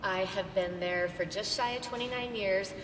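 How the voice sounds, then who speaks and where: A woman's voice: normal speed, distant mic. A middle-aged American woman speaking informally as a panelist into an event microphone. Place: large event hall. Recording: echoey hall microphone with noise and rumble.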